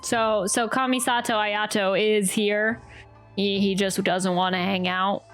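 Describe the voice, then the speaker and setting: A woman's voice: mid-range voice, level tone, measured pace, a bit bright, soft background music. A woman streaming a game with casual commentary, chatty and jokey in manner. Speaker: game streamer reacting to a playthrough.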